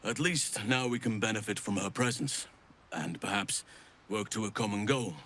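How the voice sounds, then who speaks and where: An actor playing a weary, earnest elven archdruid in a fantasy game, speaking in a measured, solemn way. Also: male voice, lowish voice, a bit bright, lightly acted. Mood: somber recollection. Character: weary, earnest elder elf archdruid, male. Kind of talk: fantasy game character acting.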